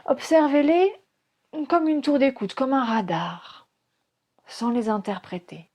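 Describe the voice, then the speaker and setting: A woman guides a relaxation meditation as a yoga nidra teacher, calm and soothing, speaking in short phrases with pauses. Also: sits high, lo-fi sound.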